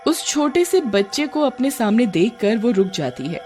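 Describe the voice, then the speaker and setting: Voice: mid-range voice, sing-song, moderate pace, darkish tone. A narrator telling a scripted horror story in the third person. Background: music.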